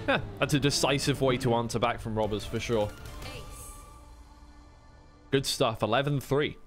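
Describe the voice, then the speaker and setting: A man doing live racing game commentary as a caster, mixing play-by-play with informal chat and thinking aloud. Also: fairly low pitch. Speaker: esports caster on a co-stream. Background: racing game car sounds.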